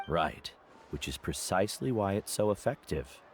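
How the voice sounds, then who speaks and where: An actor voicing a gentle, caring older mentor guide in a video game, speaking in a measured, solemn way. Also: male voice, low voice, sing-song, soft background music. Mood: calm, caring.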